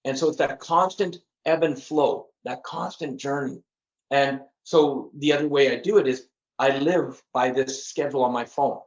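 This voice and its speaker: A man's voice: mid-range voice, moderate pace. Earnest middle-aged man, dull lo-fi sound. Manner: earnest, repeats words, laughs at himself. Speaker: podcast guest. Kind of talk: casual interview chat.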